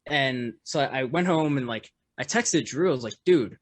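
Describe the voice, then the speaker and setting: A man, a musician guest on a podcast, with a loud voice, telling a story in casual chat full of fillers and restarts. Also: mid-range voice, expressive, moderate pace, dry recording. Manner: rambling, lots of fillers and restarts, laughs often.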